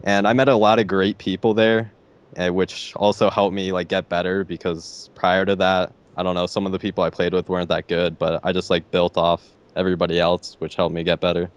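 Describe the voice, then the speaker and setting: A teenage boy with a deep voice, answering interview questions hesitantly and filling pauses with "like" and "I don't know.". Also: little pitch movement, clean tone, highs cut off. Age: teens. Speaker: interviewee, gamer.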